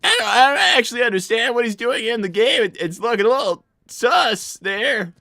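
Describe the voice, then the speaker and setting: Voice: medium pitch, lively intonation, normal speed, creaky, pushed and bright, dry recording, studio quality. A performer voicing a flustered young boy fox cartoon character, stammering and repeating words while hosting a game livestream. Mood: flustered, puzzled. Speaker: streamer hosting a live show.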